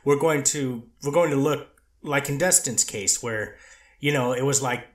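A man's voice: medium pitch, normal speed, echoey room, natural voice. A man reading the part of a treatment counselor testifying in court, answering carefully with hesitant restarts. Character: male treatment counselor witness, hedging and careful. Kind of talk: readers theater courtroom reading.

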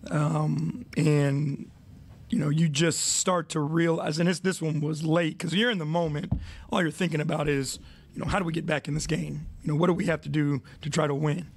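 Coach type, man in forties, gravelly, flat-toned, slightly high, measured answers on a desk mic. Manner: measured, many fillers, reflective. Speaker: head coach. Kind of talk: postgame press conference. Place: arena press room.

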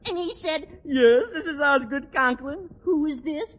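An actor playing an excitable, mischievous teenage schoolboy with a cracking, slangy American voice in a 1940s radio sitcom. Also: male voice, measured pace, long reverb tail, lo-fi sound. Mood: gleeful. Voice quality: high, cracking adolescent voice.

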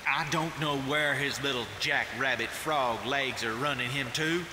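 A man's voice: medium pitch, a little slow, lightly acted. Man as game villain: menacing Southern American cowboy, drawling and brash, reverberant cave, hissing water behind. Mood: menacing, taunting. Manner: drawling, folksy threats. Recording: echoey cave, loud water hiss.

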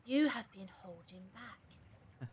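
A woman's voice: medium pitch, animated, slow pace, slightly rough, full character voice. An actress in an audio drama playing a shrewd, confrontational woman who probes and commands. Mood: accusing. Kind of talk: fan audio drama acting.

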